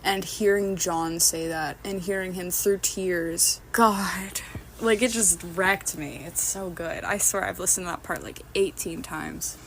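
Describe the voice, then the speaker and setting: A woman's voice: on the low side, unhurried, fairly bright tone. A woman leaving a casual, rambling fan voice message, speaking with intense, heartfelt emphasis. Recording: clear background noise on voice message.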